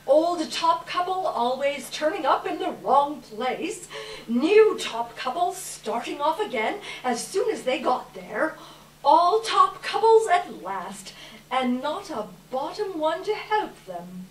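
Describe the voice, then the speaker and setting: A woman's voice: high-pitched, big pitch swings, takes it slowly, a little hiss or hum. A woman narrating a story in a live dramatic reading, heard from far off in an echoey hall. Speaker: narrator in a readers theater. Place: live event room, distant microphone.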